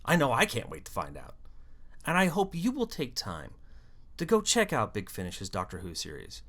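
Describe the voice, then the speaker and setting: A video host delivering scripted fan commentary in an animated, storytelling way with wry asides. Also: mid-range voice, moderate pace. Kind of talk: scripted fan commentary on an audio drama series.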